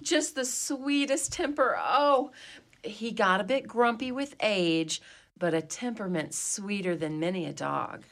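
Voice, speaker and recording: A woman's voice: medium pitch, animated, measured pace. A woman playing a dignified, imperious wealthy mistress of an old mansion in a comedy radio play, with a grand, formal, theatrical way of talking. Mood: doting, grand.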